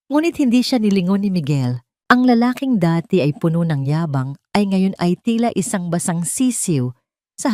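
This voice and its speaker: A woman's voice: on the low side, darkish tone, no echo, clean studio sound. A woman delivering dramatic scripted narration as the storyteller of an audio drama.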